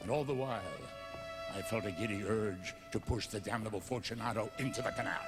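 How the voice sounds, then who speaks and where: A man's voice: fairly low pitch, fairly quick, natural voice. An actor playing a vengeful Venetian nobleman who narrates a gothic audio drama, theatrical and brooding, seething beneath sly false courtesy. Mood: brooding, gloating. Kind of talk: melodramatic gothic radio drama narration and dialogue. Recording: music under the voice.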